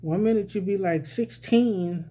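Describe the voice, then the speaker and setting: A man's voice: high-pitched, unhurried, mellow. A man vlogging a rambling, casual social commentary, often repeating "you know what I'm saying.".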